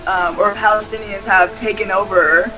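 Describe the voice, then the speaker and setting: A guest from the United States rambles over a video call and restarts sentences again and again. Music plays clearly behind the voice. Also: mid-range voice, fairly even intonation, normal speed, poor audio. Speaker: interviewee. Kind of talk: rambling casual chat.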